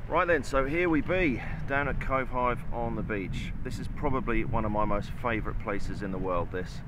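A man's voice: mid-range voice, normal speed. A man in his forties with a Suffolk English accent, chatting casually to an action camera outdoors on a beach, rambling and self-deprecating. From England. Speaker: outdoor vlogger. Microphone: action camera at arm's length. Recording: wind noise on the mic.

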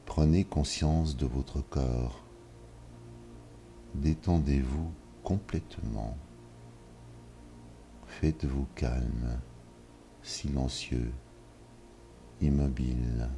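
Man, very deep voice, mellow, guided relaxation, soft music underneath. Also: vocal fry. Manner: calm, measured instructions with long pauses. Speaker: yoga nidra guide. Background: soft ambient music.

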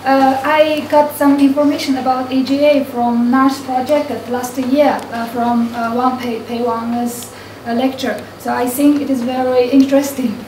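A woman's voice: high voice, little pitch movement, unhurried, background noise. A woman researcher introducing herself hesitantly to a class, with many fillers. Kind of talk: self-introduction. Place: large classroom. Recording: echoey classroom from far off.

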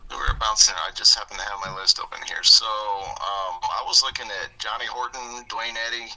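A man co-hosting a casual music podcast, his voice a bit froggy and going hoarse, talking in a relaxed, rambling way with fillers and chuckles. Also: on the low side, brisk, poor audio. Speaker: podcast co-host. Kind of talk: casual chat.

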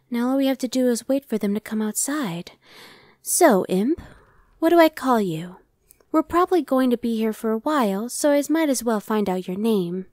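A woman's voice: a little high, darkish tone, no room sound. A woman playing a casual, dry-witted female demon scout in an ASMR roleplay audio drama. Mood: casual, watchful. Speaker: voice actor.

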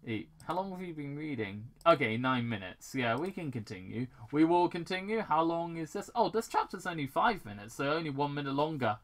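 A person reading fan fiction aloud casually, halting and repeating words, with off-script commentary. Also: medium pitch, big pitch swings.

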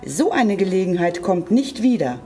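Storyteller voicing a proud, animated little hen. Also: medium pitch, normal speed, slight background noise. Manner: self-assured, declaring. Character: proud, tech-loving hen in a children's story.

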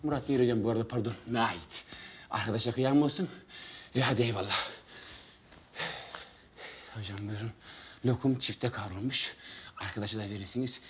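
A man's voice: mid-range voice, a little fast, pauses often, a bit bright, a little hiss or hum, natural voice. An actor in his thirties playing a hapless struggling auditionee in a sitcom, eager, cheeky and rambling with awkward politeness. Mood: resigned, sardonic. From Tokat, Turkey. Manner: hesitant, over-polite, rambling. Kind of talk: naturalistic sitcom acting.

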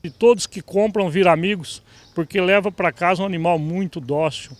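A man's voice: high-pitched, brisk. A middle-aged man, a cattle breeder, earnestly answering an interview outdoors at a fair. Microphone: handheld mic.

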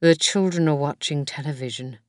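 A woman reading the lines of a gentle, reassuring husband in a soft, soothing way, as an audiobook. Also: sits low, brisk, dry recording, clean studio sound, natural voice.

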